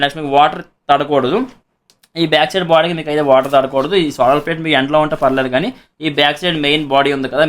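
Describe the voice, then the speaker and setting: A man with a Telugu accent gives a casual product demonstration as a reviewer and seller, explaining repetitively and mixing in English words. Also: fairly high pitch.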